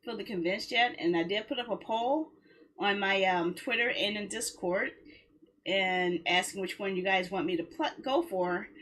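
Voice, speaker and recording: A woman's voice: mid-range voice, normal speed, fairly bright tone, a little hiss or hum. A woman game streamer talking casually to her viewers in a rambling, conversational way. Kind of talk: casual livestream chat.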